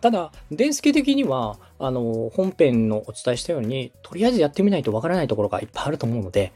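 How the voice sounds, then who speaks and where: A man from Osaka hosts as a radio director giving advice. His voice is husky and moves freely in pitch. He explains things politely, one step at a time, in a conversational coaching style, with faint music behind him. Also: big pitch swings, fast talker, dark tone. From Osaka, Japan.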